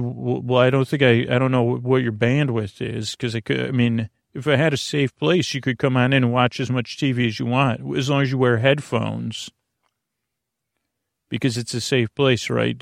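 A man with a creaky voice hosting a sleep podcast in a lulling, soothing, meandering monologue that halts and wanders. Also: medium pitch, no room sound, clean studio sound. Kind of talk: rambling, tangent-filled bedtime monologue.

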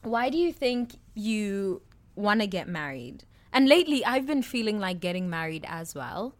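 A woman's voice: medium pitch, big pitch swings, moderate pace. A woman in her twenties from South Africa with a South African accent, co-hosting a podcast in candid, crude, laugh-filled chat. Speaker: podcast co-host. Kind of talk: casual chat.

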